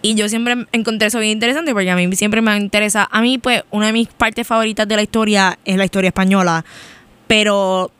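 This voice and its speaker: A young Puerto Rican woman talks on the air at a college radio station. She chats in a loose, rambling way and drifts between Spanish and English within a single sentence. Her voice sits at an ordinary pitch for a woman. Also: fairly bright tone, smooth. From Puerto Rico. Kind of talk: casual on-air chat.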